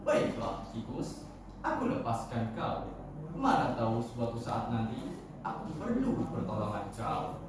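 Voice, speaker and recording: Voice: mid-range voice, very animated, measured pace, voice pushed down. A storyteller acting out a big bullying storybook lion, proud, demanding, very echoey, distant and noisy. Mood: scornful. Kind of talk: storytelling contest character voice.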